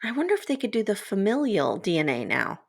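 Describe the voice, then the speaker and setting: A woman in her forties with a raspy voice, joking wryly as a guest on a casual podcast. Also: medium pitch, wildly swooping pitch, brisk. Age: 40s. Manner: wry, joking.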